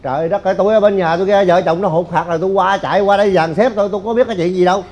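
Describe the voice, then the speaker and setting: A man's voice: sits high, steady tone, very fast, darkish tone, lightly acted. A man playing a flustered, protective, hot-tempered middle-aged father-in-law in a stage comedy, stammering and repeating words in a southern Vietnamese accent. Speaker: comic stage actor. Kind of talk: broad stage comedy acting.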